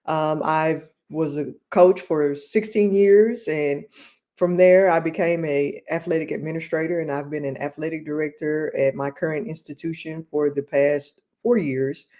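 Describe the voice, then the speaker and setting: A woman answering interview questions in an earnest, measured way. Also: sits low, steady tone, dark tone. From Texas. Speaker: guest, athletic director.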